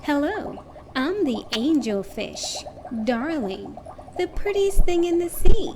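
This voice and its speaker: A woman's voice: high-pitched, big pitch swings, slow pace, background noise, pitched above the performer's own voice, full character voice. A woman voicing a vain, gushing female angelfish in a children's storybook read-aloud. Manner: self-admiring, gushing. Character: vain, preening female angelfish from a picture book.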